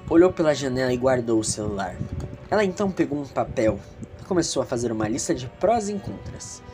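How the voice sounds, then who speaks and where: Brazilian male storyteller for audio drama, mid-pitched, very animated, confiding asides, music underneath. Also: brisk. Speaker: narrator. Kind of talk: scripted audio drama narration with chatty asides.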